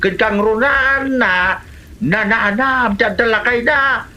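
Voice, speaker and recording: A woman's voice: fairly high pitch, slow pace, bright, forceful tone, slight background noise. A woman with an Ilocano Filipino accent playing a gossipy, teasing village neighbour in an amateur comedy drama, full of questions and exclamations. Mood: gossipy, chiding.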